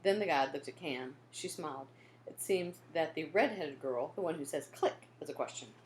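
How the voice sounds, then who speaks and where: Animated woman reading a children's story. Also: deep voice, normal speed, roomy sound, a little hiss or hum, rough recording. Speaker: storybook reader. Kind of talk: expressive children's read-aloud narration.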